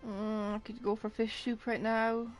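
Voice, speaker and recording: A woman's voice: on the high side, little pitch movement, moderate pace, faint music behind. A woman streaming a video game, giving playful, offhand reactions in a casual way. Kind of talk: casual reactions while playing.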